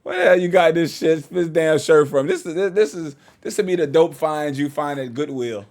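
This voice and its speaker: A man's voice: a little high, moderate pace. A man hosting a reaction video, joking and swearing in casual commentary. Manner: joking, animated, profane.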